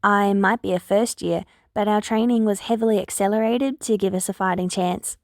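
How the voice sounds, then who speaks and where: A woman's voice: mid-range voice, fairly even intonation, moderate pace, dry recording, clean studio sound, close to an everyday voice. A woman voicing an earnest, eager older girl, a polite and persuasive hero student in a story.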